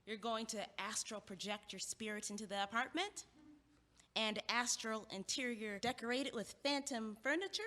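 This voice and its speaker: An actress in an audio play playing a feisty, sarcastic young woman who is sharp-tongued and combative. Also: a little high, normal speed. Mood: dry teasing. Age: young adult. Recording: echoey, long hallway-like reverb.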